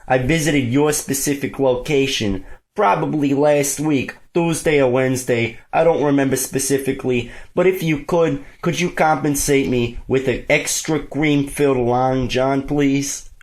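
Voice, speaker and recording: A man's voice: mid-range voice, close to an everyday voice. A man putting on a disgruntled, pushy New Yorker with a New York accent, wheedling and crude on a prank call. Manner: wheedling, sweet-talking, foul-mouthed complaining. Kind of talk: improvised prank phone call.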